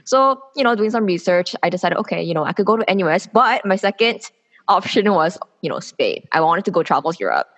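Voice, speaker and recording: A woman's voice: lowish voice, sing-song, fairly quick, a bit bright. A woman in her twenties from South Korea, speaking candidly and with opinions as a guest in a casual interview, explaining herself at length. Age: 20s. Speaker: podcast guest, public policy student.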